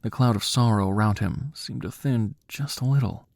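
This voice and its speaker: Low-voiced man narrating a solemn story. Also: creaky, no echo. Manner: measured, solemn, hushed. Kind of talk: cinematic, dramatic scripted story narration.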